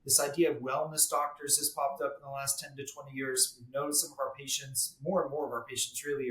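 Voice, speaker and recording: A man's voice: mid-range voice, a bit bright. Man explaining medicine in a roomy echo. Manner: explanatory, repeats words when restarting. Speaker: guest dermatologist. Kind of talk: podcast interview.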